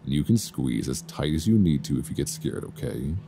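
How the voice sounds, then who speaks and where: Very deep, gravelly, soothing boyfriend played by a man. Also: animated, exaggerated voice. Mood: gentle, reassuring. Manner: soft, intimate, unhurried, soothing. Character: affectionate, reassuring young boyfriend on vacation. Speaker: ASMR roleplay voice performer. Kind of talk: ASMR boyfriend roleplay, intimate casual chat. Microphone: close binaural microphone. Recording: faint background noise, binaural.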